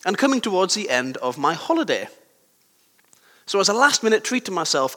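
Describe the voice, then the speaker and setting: A young man with a sore throat and a slightly croaky voice, giving a conversational sermon with personal stories and questions to his listeners. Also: a little high, fairly bright tone. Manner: conversational, self-deprecating, asks the listeners questions. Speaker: preacher. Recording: long hall reverb.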